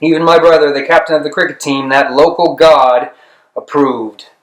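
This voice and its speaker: A man reading literary narration as an audiobook, in a deliberate, dramatic manner with pauses. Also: on the high side, fairly even intonation. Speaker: audiobook reader. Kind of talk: expressive scripted literary narration. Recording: roomy, echoing room sound.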